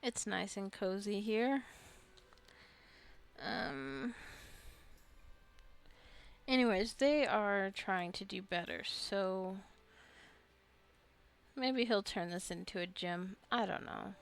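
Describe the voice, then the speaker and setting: Slow-paced, creaky-voiced woman gamer. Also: mid-range voice, lively intonation. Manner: chatty, thinking aloud to herself and viewers. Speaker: gaming video host. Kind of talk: casual rambling gameplay commentary.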